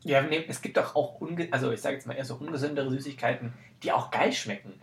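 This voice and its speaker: A man's voice: mid-range voice, fast talker, creaky, fairly bright tone, slight background noise. Casual man hosting a podcast. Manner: informal, slangy, bantering. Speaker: podcast host.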